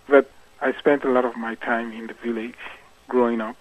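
A man's voice: medium pitch, fairly even intonation, a little slow, no echo. A man from Cameroon, a guest musician in a radio interview, speaking thoughtfully with many fillers and restarts. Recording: tinny phone line.

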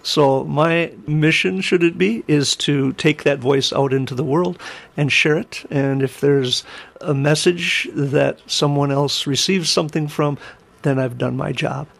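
A man's voice: on the high side, fairly even intonation, measured pace, vocal fry. A middle-aged man, telling his story reflectively as an interview guest. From Wisconsin, United States. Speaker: interview guest, singer and musician.

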